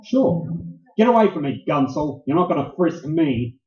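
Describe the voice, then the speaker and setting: An actor in a noir radio play, playing a tough, wisecracking private detective with a brusque, sardonic manner. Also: male voice, a little high, sing-song, normal speed, darkish tone. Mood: defiant. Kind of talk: staged radio play acting, 1940s noir. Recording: roomy, dull live stage recording.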